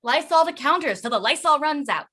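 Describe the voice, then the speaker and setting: A woman's voice: high-pitched, expressive, brisk, bright, forceful tone. A woman acting a restless, wistful character in lockdown, in a staged reading of a play.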